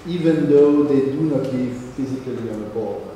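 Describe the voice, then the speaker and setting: A man whose first language is French, speaking haltingly with many restarts as a panelist at a seminar. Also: mid-range voice, dark tone, distant mic, lo-fi sound, a little hiss or hum. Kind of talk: discussion answer. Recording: echoey hall, recorded from afar.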